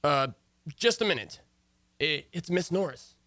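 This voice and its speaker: An actor playing an easygoing, wisecracking husband with a wry, hesitant manner in an old-time radio comedy. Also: male voice, on the high side, lively intonation, unhurried, pushed and bright, dry recording. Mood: flustered, wry. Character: easygoing, wisecracking husband narrating his marital troubles.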